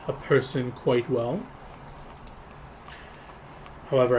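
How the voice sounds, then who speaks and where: Man playing a formal, measured freelance spy. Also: medium pitch, slight background noise, close to an everyday voice. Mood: measured, confident.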